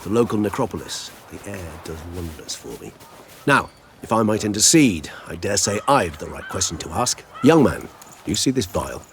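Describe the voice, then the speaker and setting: An actor playing a courteous, erudite gentleman in a video game, speaking formally and eloquently. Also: sits low, very animated, moderate pace, a little hiss or hum. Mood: smoothly persuasive. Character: courteous, erudite, composed gentleman, shrewd and silky.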